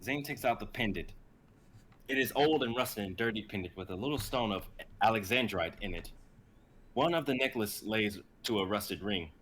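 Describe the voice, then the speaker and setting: Young man, dramatic script narration, fairly low pitch, forceful bright tone, slightly noisy stream audio. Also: animated, normal speed. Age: young adult. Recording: faint background noise, live stream.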